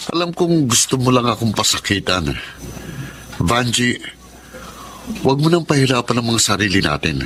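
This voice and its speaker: An arrogant Filipino former lover in a radio drama. His voice is bright and edged, at a medium pitch, and he speaks with smug menace. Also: sing-song, moderate pace, pauses often, slight background noise. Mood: insistent. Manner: smug, taunting. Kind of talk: Tagalog radio drama acting.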